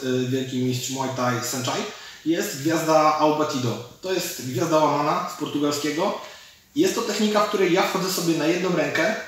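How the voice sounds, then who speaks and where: A male martial arts coach in his thirties explains techniques in a slightly high voice. He is recorded at a distance in a very echoey gym, with faint noise behind him. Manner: explains while demonstrating moves. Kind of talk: instructional technique demonstration. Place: large indoor gym with fighting cage. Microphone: camera mic from several metres away. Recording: distant mic, very echoey hall, noisy.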